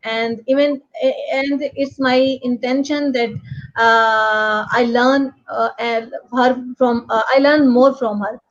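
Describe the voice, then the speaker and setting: A woman with a Pakistani accent hosting an online show, speaking English as a second language in a halting, repetitive, effusive way. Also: a little high, steady tone. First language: not English. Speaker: host. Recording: dull, lo-fi online call audio.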